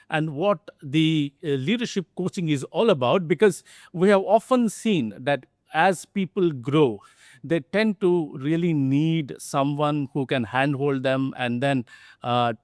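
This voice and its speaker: A man's voice: on the high side, dry recording, studio quality. A middle-aged man hosting a business podcast, introducing guests earnestly with many "uh" fillers. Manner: earnest, with frequent "uh" fillers and "really". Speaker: podcast host, company CEO.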